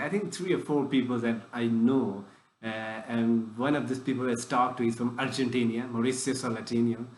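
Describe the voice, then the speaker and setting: A man hosts a tech podcast and asks long, rambling questions, restarting his sentences often. His voice sits at a middle pitch. The room around him is echoey. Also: a little hiss or hum. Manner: broken phrasing with frequent restarts. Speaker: podcast host. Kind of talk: casual interview questions.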